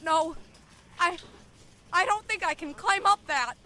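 A woman's voice: very high voice, measured pace, sharp tone, a little hiss or hum, exaggerated voice. A woman acting a frightened, stammering survivor of the undead in an audio horror drama, pleading and full of doubt. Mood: panicked.

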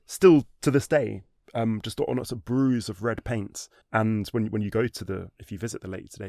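A man telling a history story in a conversational way, animated, with frequent restarts and fillers like "sort of" and "um". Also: on the low side, vocal fry, no room sound, studio quality. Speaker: history guest.

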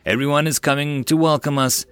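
Man doing a calm marine commander, measured, matter-of-fact, slightly raised voice, dry sound. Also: on the high side, smooth, no echo, pitched above the performer's own voice, close to an everyday voice. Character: male marine commander, level-headed and wary.

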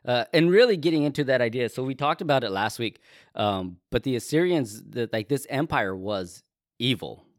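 A man's voice: mid-range voice, animated, normal speed, no echo. A man co-hosting a casual Bible podcast, chatting with self-deprecating jokes and frequent laughs into a close microphone. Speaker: podcast co-host. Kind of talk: casual chat about the Bible.

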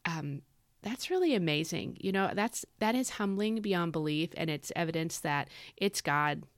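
A woman's voice: fairly low pitch, lively intonation, moderate pace, no room sound, studio quality. A woman hosting a devotional podcast, talking in a casual, earnest way with frequent fillers; her voice is scratchy and tired from overuse. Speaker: devotional podcast host and author.

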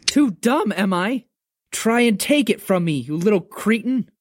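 A woman's voice: medium pitch, lively intonation, measured pace. A woman voicing a big, earnest, hot-tempered boy in a story reading. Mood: defiant.

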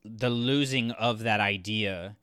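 Bright, clear low-voiced man. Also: slow, dry recording, clean studio sound. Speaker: podcast co-host reviewing books. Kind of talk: casual discussion and commentary.

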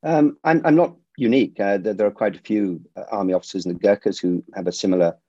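A middle-aged man who grew up in India, a security company founder answering interview questions in a measured way with frequent restarts. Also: lowish voice, warm tone, no room sound, muffled recording.

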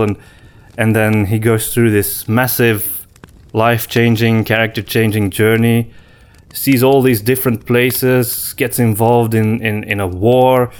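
A man co-hosting a podcast in casual conversation, reflective and often pausing to search for his words. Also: fairly low pitch. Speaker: podcast co-host.

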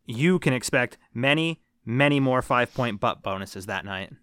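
A man's voice: medium pitch, lively intonation, moderate pace, fairly bright tone, smooth, no echo, clean studio sound. A man in his thirties co-hosting a casual movie podcast, joking and swearing freely. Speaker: podcast co-host.

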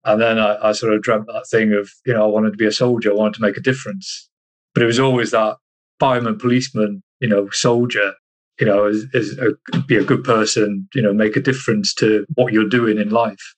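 A man from Iceland chatting casually as an interview guest, halting and repeating himself as he searches for words. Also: fairly low pitch, flat delivery, rough recording. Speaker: interview guest, army soldier.

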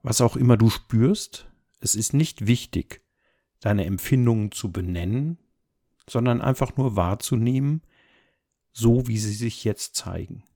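A man leading a guided meditation as an instructor, speaking calmly and gently in measured, unhurried phrases. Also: medium pitch. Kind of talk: guided body scan meditation, soft instruction.

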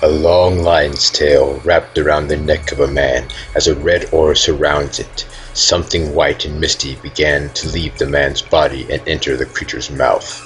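A male narrator delivers scripted, dramatic trailer narration. His voice is exceptionally low, and music plays clearly behind it. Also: steady tone, normal speed, few pauses, a bit bright, low-quality recording.

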